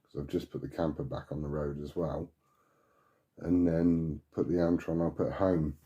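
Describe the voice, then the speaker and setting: A man in casual CB radio chat, musing and thinking out loud. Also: extremely low pitch, steady tone, moderate pace, highs cut off. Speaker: CB radio hobbyist asking for advice.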